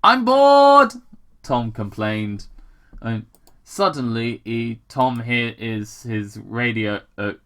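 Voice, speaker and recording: A man's voice: mid-range voice, fairly even intonation, slow pace, a bit bright. A man casually reading fan fiction aloud with commentary, stumbling over words, repeating himself and swearing in asides.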